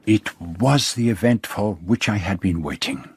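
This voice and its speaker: A foreign gentleman detective in a radio drama. He is courteous and self-assured, with formal, precise, measured phrasing and a shrewd, probing manner. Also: low-pitched, animated, slightly rough, exaggerated voice. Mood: reflective. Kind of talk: radio drama acting and first-person narration.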